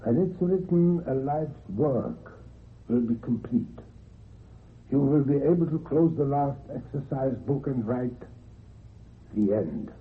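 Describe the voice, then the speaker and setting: An actor playing a stern, controlling old grandfather who speaks deliberately and insistently in a radio drama. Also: medium pitch, animated. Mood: grave, commanding. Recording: tinny narrow-band old radio recording.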